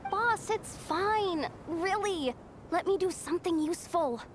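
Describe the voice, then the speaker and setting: A woman's voice: extremely high pitch, lively intonation, measured pace, a little hiss or hum. An actress playing an earnest, devoted young female employee in a video game, cute and eager to please. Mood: eager, flustered.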